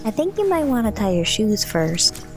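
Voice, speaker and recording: A woman's voice: mid-range voice, big pitch swings, normal speed. A woman playing a curious, cheeky young daughter of a soldier in an audio drama, inquisitive and teasing. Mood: playful. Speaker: voice actor. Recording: faint music behind the voice.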